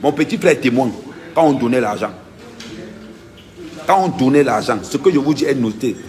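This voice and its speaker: A man's voice: mid-range voice, lively intonation, moderate pace, a little mellow. A man, an activist vlogger giving an insistent, emphatic first-person account and repeating his key points.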